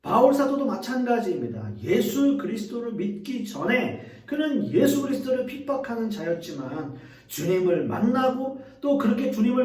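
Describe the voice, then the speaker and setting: A male pastor preaches in a high voice that rises and falls widely as he speaks earnestly to his congregation. The sound is roomy and echoing, picked up from some distance away in the church. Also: a little mellow. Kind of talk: sermon.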